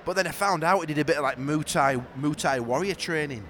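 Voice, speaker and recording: A Yorkshire man co-hosting a podcast, chatting casually and excitably while watching football. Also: a little high, brisk, a little hiss or hum. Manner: excitable, laughing, reacting to the match. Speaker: podcast co-host.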